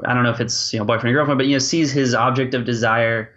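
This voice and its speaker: A man raised speaking French and English, giving interview answers as a linguist. He talks conversationally with many little asides and restarts, in a medium-pitched voice on slightly dull audio. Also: fairly even intonation, quick pace, fairly bright tone. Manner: hesitant starts, peppered with "you know" and "like". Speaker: linguist and language creator being interviewed.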